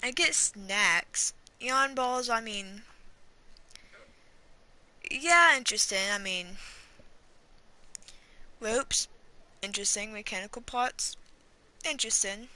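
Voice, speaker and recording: A woman's voice: fairly high pitch, slow pace, long pauses, bright, forceful tone. A woman giving casual game playthrough commentary, rambling, repeating herself and reacting aloud. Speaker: gaming streamer. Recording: game music faintly behind.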